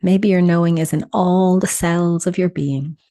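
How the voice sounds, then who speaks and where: A woman leading a guided meditation in a soothing, instructional manner with deliberate pauses. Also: fairly low pitch, fairly even intonation, warm tone, no echo, studio quality.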